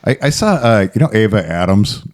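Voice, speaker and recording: Low-voiced man riffing crudely. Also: very animated, fairly quick. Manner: swearing, playful riffing. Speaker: podcast co-host. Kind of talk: crude casual banter.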